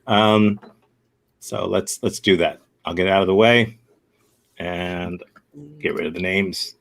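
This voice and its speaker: A man's voice: deep voice, steady tone, slow pace, vocal fry, fairly bright tone. A man leading an improv workshop, giving rambling, upbeat feedback on a scene and setting up the next exercise. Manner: rambling, self-correcting, upbeat. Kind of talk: casual workshop feedback and instruction.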